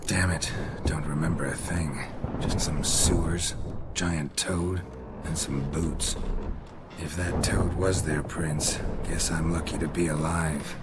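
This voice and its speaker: An actor playing a weary, dry-witted captive warrior in a video game, gruff and laconic. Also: male voice, rumbling low, slow, heavy background noise. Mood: groggy, dry. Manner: laconic, deadpan.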